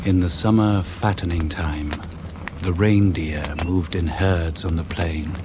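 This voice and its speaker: Low, slow ancient tribesman. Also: steady tone. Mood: reminiscent. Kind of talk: poetic radio drama narration. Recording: noisy 1980s radio broadcast recording.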